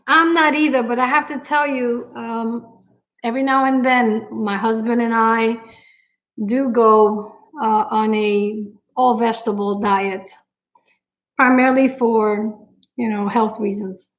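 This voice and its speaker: Cooking host, woman, middle-aged, Puerto Rican accent, a bit high-voiced, unhurried live-stream chat full of ums. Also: fairly even intonation, low-quality recording. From Puerto Rico. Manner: warm-hearted, grateful, frequent fillers and pauses.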